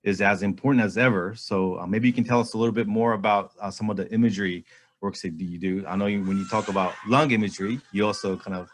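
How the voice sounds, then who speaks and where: A man's voice: fairly low pitch, fairly even intonation, fast talker. A man hosting an online interview, enthusiastic and friendly, speaking over a video call. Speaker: host, executive director.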